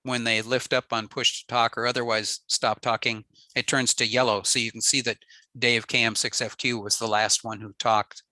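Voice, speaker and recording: A man giving a relaxed technical slide talk on a radio network, explaining with frequent ums and uhs. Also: mid-range voice, normal speed, no room sound. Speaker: ham radio presenter.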